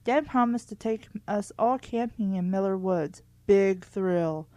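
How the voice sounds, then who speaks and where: A woman's voice: medium pitch, unhurried, warm tone, no echo, natural voice. Woman reading as a bored, dramatic preteen girl. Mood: bored, sulky. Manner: bored, exaggerated complaining. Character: bored twelve-year-old girl, dramatic and chatty, writing letters.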